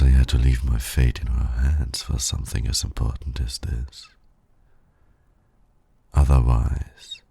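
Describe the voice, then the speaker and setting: A man playing a suave, flirtatious villain in an ASMR romance roleplay, teasing in silky, formal, condescending words with a gravelly, breathy voice. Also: extremely low pitch, warm tone, no echo, exaggerated voice. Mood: smooth, assured. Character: suave male villain flirting with heroic nemesis.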